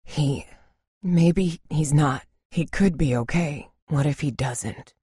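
An actress playing a tough, weary woman surviving an apocalypse in a video game, husky and blunt, speaking in a serious, terse way. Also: low voice, takes it slowly, dark tone, no echo, clean studio sound, heavily acted. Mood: tense, guarded. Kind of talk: dramatic video game voice acting.

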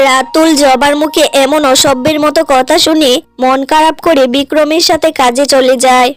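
Woman, high voice, scripted cartoon narration, faint music behind. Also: fairly even intonation, moderate pace, no echo, studio quality.

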